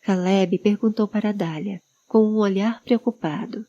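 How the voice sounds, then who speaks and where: A woman's voice: mid-range voice, moderate pace, dark tone, lo-fi sound. A woman narrating a scripted fantasy audio story. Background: background music.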